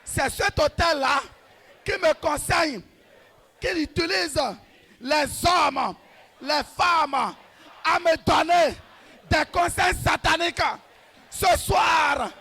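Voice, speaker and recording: A man leading a shouted deliverance prayer, hammering out short repeated commands in a rhythmic, commanding way. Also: very high voice, creaky. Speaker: prayer leader.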